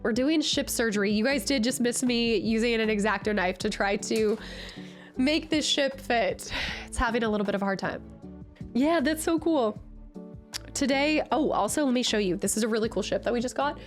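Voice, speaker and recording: A woman streamer chatting casually with her viewers, her voice nasal and raspy from a cold, bubbly and excitable. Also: fairly high pitch, normal speed, faint music behind. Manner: bubbly, excitable, greets chat often. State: recovering from a cold. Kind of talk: casual live-stream chat.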